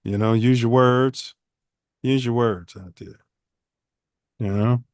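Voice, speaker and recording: Smooth-voiced man hosting a podcast. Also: mid-range voice, steady tone, slow, darkish tone, no echo, clean studio sound. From Chicago. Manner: loose, rambling, slangy delivery with many filler sounds. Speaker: podcast host. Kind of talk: casual livestream podcast chat.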